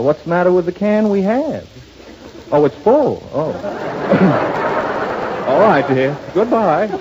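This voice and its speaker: Male comic actor, fussy phone-call bit, animated pitch, 1930s broadcast. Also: a little hiss or hum. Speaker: comedy sketch performer. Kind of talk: radio comedy sketch. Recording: muffled 1930s radio broadcast.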